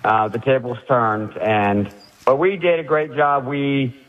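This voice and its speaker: A man calling in to a sports radio show with a head cold, an excited fan talking haltingly with many fillers. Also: medium pitch. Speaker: caller. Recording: dull phone-in line.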